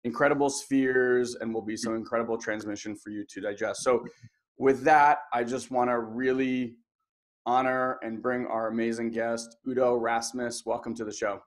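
Young man hosting with excited energy. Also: mid-range voice. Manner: excited, says "like" a lot, talks fast when keen. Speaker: host. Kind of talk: enthusiastic webinar hosting.

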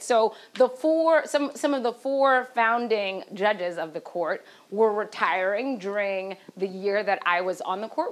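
A woman's voice: mid-range voice, fairly bright tone. Woman professor speaking in a lecture hall. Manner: measured, with frequent fillers and "right?" tags. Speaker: law professor on a panel. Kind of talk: panel talk to an audience. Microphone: far camera in a lecture hall.

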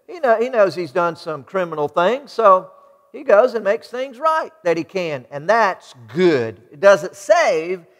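A middle-aged American man preaching a sermon, emphatic, putting rhetorical questions to his congregation. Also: sits high. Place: large church sanctuary, indoors. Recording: reverberant church hall.

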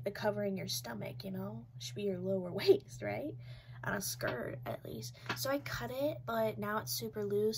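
A woman's voice: medium pitch, darkish tone, slight background noise. A young woman in her twenties with a stuffy, congested voice from a cold, chatting casually and rambling with lots of fillers while reviewing dolls in her bedroom. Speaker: doll collector vlogger. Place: small decorated bedroom, indoors.

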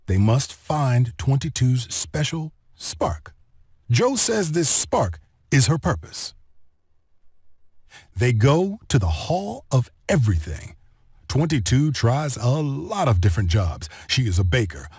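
Guy reading a kids book out loud, really animated, bit husky, close dry sound. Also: medium pitch, no room sound. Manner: expressive, deliberate storytelling delivery with clear phrasing. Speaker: storybook narrator. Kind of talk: scripted read-along children's story narration.

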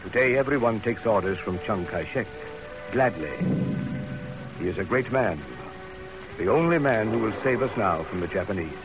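An actor playing a dignified, authoritative older Chinese father in a 1930s radio drama, formal and deliberate. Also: lowish voice, animated, music in the background, natural voice. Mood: grave. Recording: dull old radio recording.

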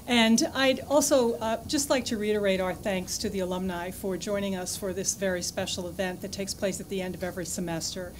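Woman giving gracious closing thanks. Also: medium pitch. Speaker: event host. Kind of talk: formal closing remarks. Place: large lecture hall with audience. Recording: noisy hall recording.

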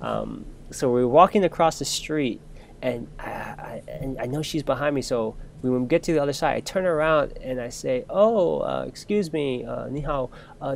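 A man's voice: medium pitch, vocal fry, a little hiss or hum. Rambling young man telling a story. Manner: rambling, many fillers and restarts. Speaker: podcast co-host. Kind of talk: casual storytelling chat.

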